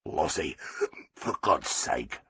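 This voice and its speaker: Guy doing a grumbly husband, low and hushed, bit breathy. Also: huge pitch swings, a little slow, no echo, heavily acted. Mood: grumbling. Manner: low muttering. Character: grumpy embarrassed middle-aged husband.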